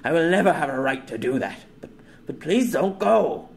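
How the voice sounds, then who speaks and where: A man's voice: on the high side, lively intonation, moderate pace, gravelly, voice pushed up. A man voicing a lonely, reclusive man who pleads while crying and stammering. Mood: pleading. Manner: desperate, stammering.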